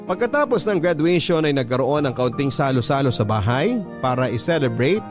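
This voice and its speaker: A man reads as a heartfelt Filipino radio storyteller. His mid-range voice is reflective and sentimental, delivering scripted narration. Plucked guitar music is clearly heard behind him. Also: mid-range voice, close to an everyday voice. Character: reflective storyteller reading a heartfelt first-person letter.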